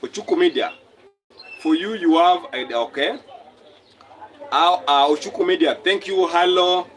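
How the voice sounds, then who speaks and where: A man's voice: high voice, unhurried, fairly bright tone. A young man from Kenya hosting a casual live stream, reading out viewer comments and repeating his phrases as he talks.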